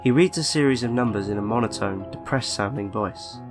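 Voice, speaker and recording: A man reading a scripted online horror story in a measured, deliberate way, with pauses between phrases. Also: lowish voice. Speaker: narrator of a creepypasta video. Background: background music.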